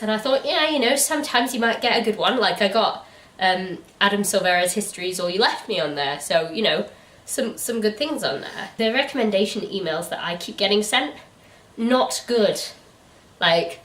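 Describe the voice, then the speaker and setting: A woman co-hosting a book review channel, chatting casually in an animated, incredulous way. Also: mid-range voice, distant mic. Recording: echoey room, away from the mic.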